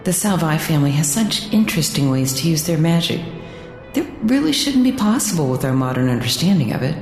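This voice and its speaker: A taunting, unseen woman in an audio drama. Her low voice swoops with sardonic relish, needling her listener. It rings in long reverb over music. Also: very animated, fairly quick, a little mellow. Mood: smug, taunting. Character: taunting, smug female presence only one man hears. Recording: echoing reverb, music underneath.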